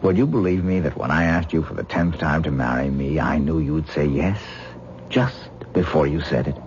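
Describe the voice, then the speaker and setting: A dreamy mystic man, deep voice. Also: normal speed. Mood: insistent. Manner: dreamy, earnest, lecturing, gently condescending. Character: intense mystical male actor obsessed with astral projection. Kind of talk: 1970s radio drama acting. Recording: muffled old radio broadcast with faint hiss.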